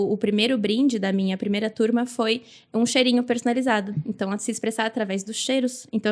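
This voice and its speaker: A woman with a Brazilian Portuguese accent chats as a guest on a podcast. Her voice sits at a middle pitch. She speaks informally, in the loose, chatty way of friends talking. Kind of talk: casual chat.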